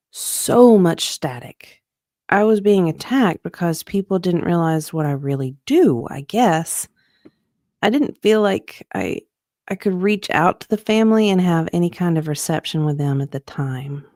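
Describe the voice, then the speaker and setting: A woman's voice: fairly low pitch, dark tone, no echo, clean studio sound. A woman guest reading a witness's part in a deposition, with casual banter, some hesitation and giggles. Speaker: guest co-reader.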